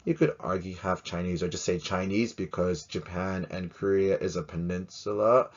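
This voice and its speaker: Chatty low-voiced male teacher. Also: fairly even intonation, normal speed, highs cut off. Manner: chatty, jokey, asks many rhetorical questions. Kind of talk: casual educational talk to camera.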